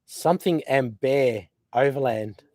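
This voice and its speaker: An Australian man hosting a casual live stream outdoors, rambling with lots of fillers and gentle teasing. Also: medium pitch, unhurried, dry recording, clean studio sound. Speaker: host. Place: outdoors by a river.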